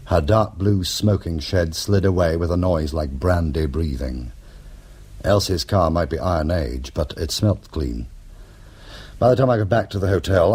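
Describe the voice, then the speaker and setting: An actor playing a wry, sardonic, quick-witted criminal schemer in a radio drama. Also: male voice, deep voice, darkish tone, slightly rough. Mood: wry narration. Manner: sardonic, bantering. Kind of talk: radio drama acting and narration.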